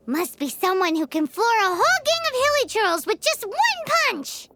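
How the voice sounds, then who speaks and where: A woman voicing a tiny, excitable, childlike fairy companion in a video game, squeaky and exclamatory. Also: unhurried, heavy background noise. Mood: curious, amazed. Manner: exclamatory, refers to herself in third person.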